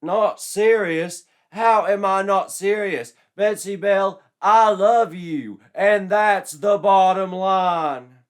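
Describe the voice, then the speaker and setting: A man's voice: sits high, slow, hardly any pauses, smooth, pitched above the performer's own voice. A man voicing a brash young male wrestler suitor who talks in bombastic promo style. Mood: indignant, loud.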